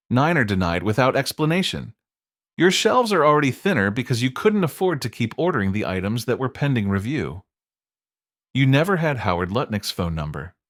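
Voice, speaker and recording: A man reading scripted explainer narration in a measured, emphatic way, built on short dramatic statements. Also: mid-range voice, no room sound, clean studio sound.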